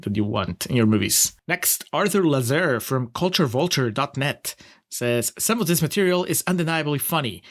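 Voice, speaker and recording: A man with a Peruvian accent co-hosting a casual film review podcast, wry and sarcastic, often breaking into laughter. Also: mid-range voice. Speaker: podcast co-host.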